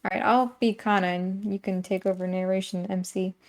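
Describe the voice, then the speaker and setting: A woman's voice: mid-range voice, fairly even intonation, a little fast. A woman co-hosting a read-aloud, moving between story narration and casual banter. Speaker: co-host of a read-aloud series.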